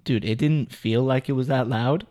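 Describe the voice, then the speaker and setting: A man's voice: medium pitch, dark tone, no echo. A young man co-hosting a casual podcast, trading teasing banter and drawing out emphatic points. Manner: teasing, dry banter, drawn-out emphatic delivery. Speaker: podcast co-host. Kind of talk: casual chat.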